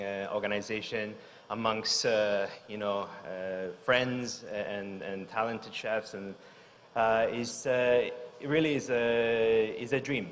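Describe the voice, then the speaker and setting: A man's voice: lowish voice, fairly bright tone. Hesitant man speaking in a reverberant hall. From Hong Kong. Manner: hesitant, many fillers and restarts. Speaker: chef giving a talk. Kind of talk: unscripted conference speech. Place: large conference hall stage.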